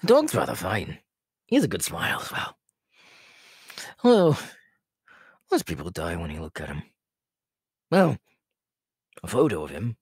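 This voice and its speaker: A man playing an inhuman, menacing, giggly killer clown in a horror roleplay, with a raspy, breathy voice that slips into whispers and a rambling, teasing manner. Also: deep voice, huge pitch swings, a little slow, pauses often, dry recording, clean studio sound, heavily acted. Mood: rambling, amused. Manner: rambling, teasing, laughing at himself, erratic swoops. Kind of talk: in-character horror roleplay monologue.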